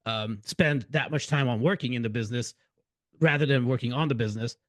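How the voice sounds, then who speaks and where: A man's voice: medium pitch, fairly quick, fairly bright tone, no room sound. A middle-aged man who grew up in Hungary, hosting a podcast and chatting loosely, often restarting his sentences. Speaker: podcast host. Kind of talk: casual interview chat.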